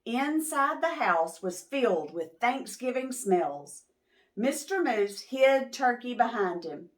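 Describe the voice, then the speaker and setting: Female picture-book narrator and host: higher voice, unhurried, explains and questions simply for little kids. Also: sing-song. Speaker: children's storyteller, channel host. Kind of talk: picture-book read-aloud, talking to preschoolers.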